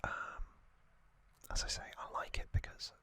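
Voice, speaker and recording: A man hosting an ASMR recap in a breathy whisper, musing casually and giving his own opinions as he goes. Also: a little slow, pushed and bright. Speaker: ASMR video host.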